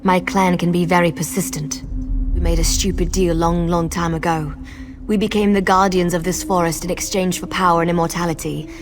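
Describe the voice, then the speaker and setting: A woman's voice: fairly low pitch, steady tone, fairly quick, background noise, natural voice. An actress playing a weary, earnest forest clanswoman in a video game, speaking with somber urgency. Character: grave, weary young-sounding female forest clan outsider-watcher, ancient lineage. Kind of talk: dramatic video game acting.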